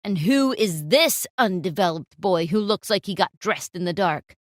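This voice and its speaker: A woman voicing a rude, insulting male fashion photographer who shouts and sneers at people. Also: lowish voice, expressive, normal speed, no echo, clean studio sound, pitched below the performer's own voice, lightly acted. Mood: sneering.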